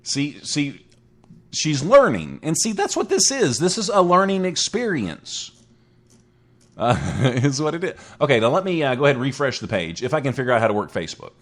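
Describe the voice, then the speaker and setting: Chatty man in his forties, lively pitch. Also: normal speed. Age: 40s. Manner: chatty, rambling, self-mocking. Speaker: internet radio show host. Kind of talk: casual solo talk show, reading chat.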